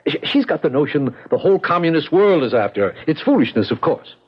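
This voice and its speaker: An actor playing a practical, protective, sceptical ballet choreographer in a 1970s radio drama, matter-of-fact and reassuring. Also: male voice, on the high side, very animated, fast, husky. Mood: concerned. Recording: muffled, vintage 1970s radio broadcast.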